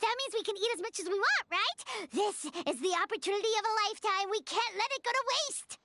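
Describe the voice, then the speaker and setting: A woman voicing a tiny, excitable, food-loving fairy companion in a video game, with a chirpy childlike manner. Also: extremely high pitch, fairly quick, dry recording, full character voice. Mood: excited. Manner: chirpy, refers to self in third person.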